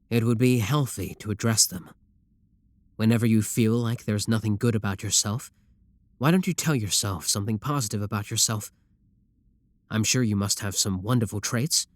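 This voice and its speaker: A man's voice: lowish voice, moderate pace, no room sound, close to an everyday voice. A man playing an adoring, obsessive yandere sibling in an ASMR roleplay, reassuring and matter-of-fact about his menacing devotion. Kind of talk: scripted ASMR roleplay addressed to the listener.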